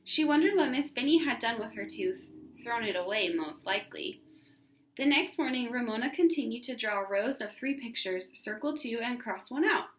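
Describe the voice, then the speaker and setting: A woman's voice: fairly high pitch, animated, normal speed, a little hiss or hum. A woman teacher reading a children's storybook aloud and talking to her class. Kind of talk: storybook narration. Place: indoors at home. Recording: echoey room.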